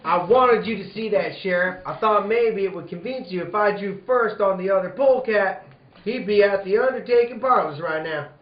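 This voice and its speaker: Guy doing old radio cowboy hero, cool and wry, kinda high voice, roomy sound. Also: moderate pace, close to an everyday voice. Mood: defiant. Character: bold, cool-headed Western cowboy hero, drawling taunts. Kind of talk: 1940s radio western acting. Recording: roomy, dull lo-fi recording.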